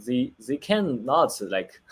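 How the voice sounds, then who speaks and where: Slow, halting man with a Chinese accent. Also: medium pitch, sing-song, husky. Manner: halting, searching for words, many restarts. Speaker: film director. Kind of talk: remote interview. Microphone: video call.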